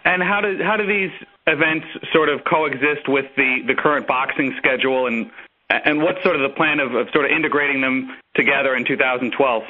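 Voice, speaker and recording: A man with a middling pitch comes through a narrow phone connection. He asks a reporter's questions tentatively, with small hesitations. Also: fairly quick, slightly rough. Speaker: sports reporter. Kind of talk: spontaneous press questioning. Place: conference call over phone. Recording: thin telephone conference line.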